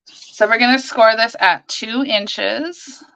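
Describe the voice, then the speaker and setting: Hoarse, bright-voiced woman chatting. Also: a little high, slow, rough recording. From Canada. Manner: chatty, greets viewers by name, talks while working. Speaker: stamping demonstrator hosting a live stream. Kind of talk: live crafting demonstration.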